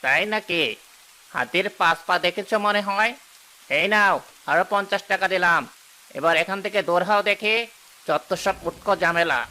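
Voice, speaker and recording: A man plays a stingy cartoon village headman. His voice is high and forceful, with a haughty, dismissive manner toward whoever he addresses. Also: moderate pace, pauses often, poor audio. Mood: scornful.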